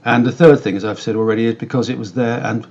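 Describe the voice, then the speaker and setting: A British man giving measured, articulate explanations as an expert guest in a conversational interview. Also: medium pitch, steady tone, a little mellow, highs cut off, a little hiss or hum. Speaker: company director interviewed as guest.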